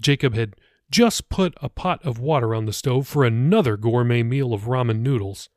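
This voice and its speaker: A man's voice: medium pitch, normal speed, dry recording, clean studio sound. A man reading his own fiction audiobook as a scripted narration.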